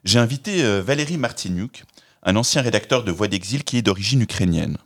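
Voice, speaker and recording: A man hosts a podcast interview in a fairly low voice. He speaks in an articulate, measured way. He reads a prepared opening, then guides his guest with careful questions. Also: lively intonation, fairly bright tone. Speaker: podcast host. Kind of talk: interviewing, partly scripted intro.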